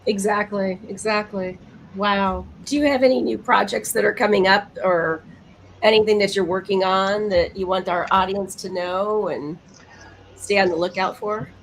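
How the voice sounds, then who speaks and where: A woman's voice: medium pitch, fairly even intonation, moderate pace, slight background noise, rough recording. A woman chatting casually as the co-host of a podcast. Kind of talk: casual chat.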